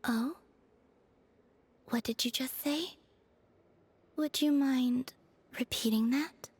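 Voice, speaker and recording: Soft, high-voiced enigmatic moon goddess maiden. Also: female voice, breathy edge, exaggerated voice. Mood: composed, coolly curious. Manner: measured, formal, deadpan. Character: serene, enigmatic young moon goddess maiden, aloof and formal. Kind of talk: English video game dialogue acting. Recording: faint music behind the voice. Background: faint background music.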